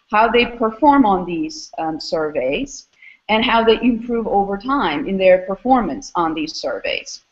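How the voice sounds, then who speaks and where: A middle-aged woman from Istanbul, Turkey, giving explanatory interview answers as a guest, in measured, academic phrasing. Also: on the high side, dull audio. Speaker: interview guest, startup founder and former academic.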